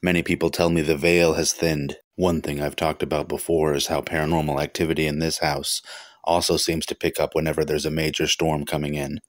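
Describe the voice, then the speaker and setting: A man talking casually to his followers as a paranormal vlogger, in a hesitant, rambling way. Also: extremely low pitch.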